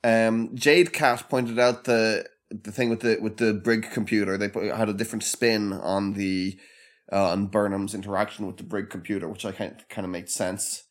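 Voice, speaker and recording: A man co-hosting a podcast in casual chat, measured and a bit hesitant, with a dry tone. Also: on the low side, steady tone. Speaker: podcast co-host.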